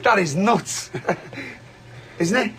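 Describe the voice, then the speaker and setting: A man playing an excitable polar station cook in an audio drama, blunt and incredulous. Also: sits high, drawn-out words, slight background noise, full character voice.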